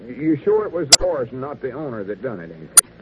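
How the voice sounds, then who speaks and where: A man's voice: medium pitch, big pitch swings, brisk, natural voice. Old 1940s radio comedy, tinny and hissy, guy doing a blustery self-important arkansas hillbilly storekeeper playing lawyer. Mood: flustered. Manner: folksy, blustering, backwoods grammar. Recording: noisy, narrow-band 1940s radio recording.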